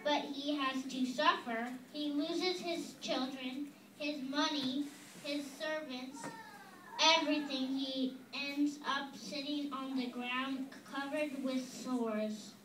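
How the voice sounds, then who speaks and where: A woman's voice: sits high, steady tone, takes it slowly. A woman voicing an earnest know-it-all kid puppet who patiently explains and corrects her friends in a church puppet skit. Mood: explaining. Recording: echoey room from far off, noisy.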